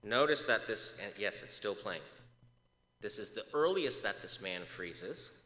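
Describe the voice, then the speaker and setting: Guy giving a talk in a big roomy hall, bright voice, lots of uhs, asks the crowd stuff. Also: on the low side, unhurried. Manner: lively, asks audience questions, many uh fillers. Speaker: research speaker. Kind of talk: public lecture to an audience. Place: large echoey lecture hall. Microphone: moves off mic at times. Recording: echoey hall reverb.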